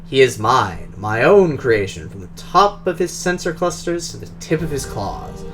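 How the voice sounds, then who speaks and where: A man voicing a polished, guarded Russian drone engineer who talks in a formal, measured, precise way, with a Russian accent. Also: medium pitch, very animated, fairly bright tone, faint music behind.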